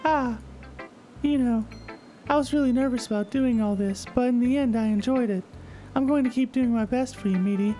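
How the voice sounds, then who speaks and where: A woman's voice: a little high, normal speed, dark tone, natural voice. A woman voicing a shy, bookish teenage girl poet in a visual novel, speaking hesitantly and earnestly. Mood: bashful. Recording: game music under the voice.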